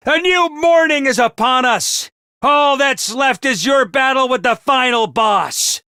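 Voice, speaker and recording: A shrill theatrical commander. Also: male voice, bright, forceful tone, no room sound, studio quality, exaggerated voice. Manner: booming, over-the-top cheer. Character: theatrical male academy commander, cheerful then menacing. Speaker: voice actor. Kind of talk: video game voice lines.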